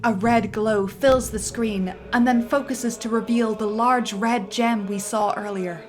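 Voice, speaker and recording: A woman's voice: on the high side, fairly even intonation, measured pace, darkish tone. A woman narrating script directions in a dramatic reading, building up to the action beats, with music underneath.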